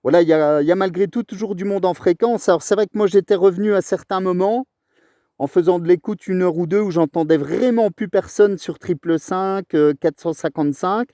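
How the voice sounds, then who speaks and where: A chatty Frenchman with a slightly high, mellow voice. He rambles along enthusiastically in long, casual turns as a radio operator. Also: brisk, dry recording. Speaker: amateur radio operator recording his contact.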